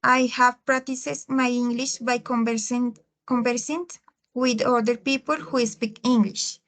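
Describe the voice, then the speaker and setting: Slow, halting young woman learner. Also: a little high, steady tone, fairly bright tone. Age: 20s. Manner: halting, with restarts and fillers. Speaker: English learner. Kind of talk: reading a class presentation.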